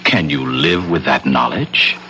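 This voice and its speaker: An actor playing a sly, mocking otherworldly tempter in a 1970s radio drama, sardonic and raspy. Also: male voice, extremely low pitch, a little slow, sharp tone, slight background noise, full character voice, poor audio. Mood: needling. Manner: sardonic, needling. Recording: vintage 1970s radio broadcast.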